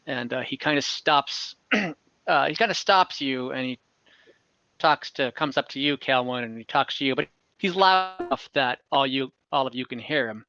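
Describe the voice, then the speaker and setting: A man narrating as game master in an informal, halting way, chatting casually between scenes over a video call. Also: mid-range voice, bright, forceful tone. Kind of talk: narrating an online tabletop game, casual chat. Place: indoors at home, on a group video call. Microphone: computer or headset mic over video call. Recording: dull video call audio.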